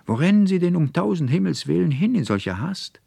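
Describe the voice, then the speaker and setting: A bustling middle-aged schoolmaster acted by a male storyteller, hailing someone in a storybook tale. His voice is pitched far up and raised, insistent and exclamatory, with a dry sound around it. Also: very animated, fairly quick, creaky, dark tone, husky, dry recording, full character voice. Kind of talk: dramatic literary storybook reading.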